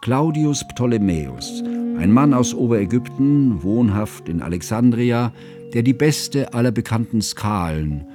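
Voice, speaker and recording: An actor playing a cultured, devoted friend who narrates a literary radio drama in a measured, precise and formal way. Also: male voice, medium pitch, lively intonation, over music, natural voice. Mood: reflective. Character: cultured middle-aged male narrator, devoted, bookish friend.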